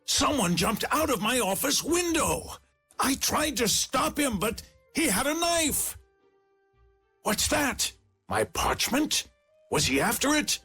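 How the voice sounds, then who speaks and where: Casting: agitated treasure-obsessed gentleman in an adventure game, bright high voice, dramatic and eager. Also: takes it slowly, breathy edge, faint music behind, full character voice. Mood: alarmed. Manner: excitable, dramatic. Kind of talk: video game cutscene acting.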